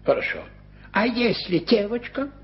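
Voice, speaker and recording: A gravelly old country doctor. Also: male voice, sits high, very animated, normal speed, heavily acted. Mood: gently curious. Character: kindly gruff older male doctor with fierce whiskers. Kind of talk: 1980s radio drama acting. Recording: dull-sounding vintage radio recording with music.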